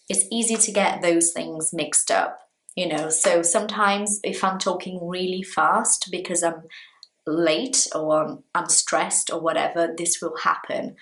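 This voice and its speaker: Slow-talking Brazilian woman teaching English. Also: on the low side, fairly bright tone, echoey room, low-quality recording. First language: Portuguese. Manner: slow, deliberate, clearly explaining. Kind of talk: language lesson talking to viewers.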